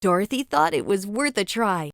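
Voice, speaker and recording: A woman narrating a read-along children's storybook with expressive, dramatic storytelling. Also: mid-range voice, normal speed, creaky, no room sound, studio quality.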